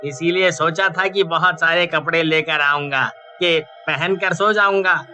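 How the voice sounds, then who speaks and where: A man's voice: fairly high pitch, fairly even intonation, unhurried, bright, forceful tone. A man voicing a plaintive, chatty factory worker in a cartoon horror story. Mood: agreeable. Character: weary, grumbling male factory worker, chilly. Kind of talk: Hindi cartoon voice acting.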